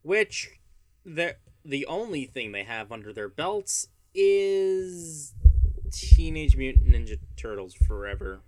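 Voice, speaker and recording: A man's voice: a little high, big pitch swings, takes it slowly, a little mellow, a little hiss or hum, poor audio. Slightly nasal New York man. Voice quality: a bit nasal. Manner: opinionated, stumbles and restarts words. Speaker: podcast co-host. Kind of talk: casual chat.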